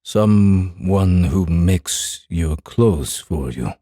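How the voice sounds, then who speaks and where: A man playing a calm, guarded and commanding samurai in a fantasy audiobook, speaking formally and deliberately. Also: sits low, no echo, clean studio sound, full character voice. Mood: puzzled, commanding. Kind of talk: dramatized fantasy audiobook acting.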